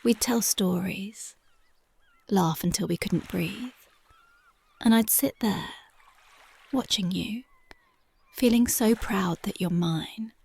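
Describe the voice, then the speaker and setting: A dreamy young punk girlfriend from an ASMR roleplay, voiced by a woman. Her voice is gravelly and husky, and her words come unhurried and soft. She keeps sinking into close, devoted whispers. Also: mid-range voice, exaggerated voice. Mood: tender, dreamy. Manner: soft, often drifting into whispers. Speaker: ASMR voice actress.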